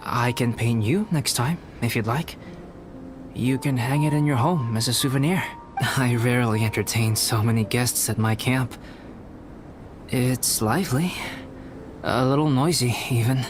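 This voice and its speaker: A man voicing a calm, polite young scholar and artist in a video game, speaking in a measured, formal manner. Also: medium pitch, close to an everyday voice. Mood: modest, calm. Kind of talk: animated game voice acting. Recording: music behind the voice.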